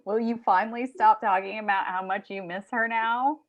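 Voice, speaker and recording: A woman's voice: mid-range voice, steady tone, moderate pace, lightly acted. A woman acting a wry, teasing office coworker in a sitcom table read, sarcastic, on muffled video call audio.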